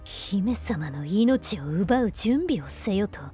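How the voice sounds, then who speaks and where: A woman's voice: medium pitch, animated, takes it slowly, warm tone, faint music behind. A woman playing an earnest, protective temple seer in a fantasy drama, speaking formally. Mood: indignant. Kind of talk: dramatic scripted voice acting.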